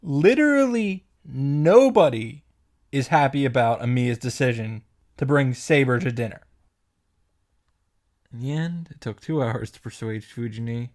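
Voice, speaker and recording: A man's voice: mid-range voice, animated, measured pace, darkish tone. A man hosting a story recap, reading the narration dramatically and adding wry commentary of his own. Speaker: host of a visual novel reaction and summary series.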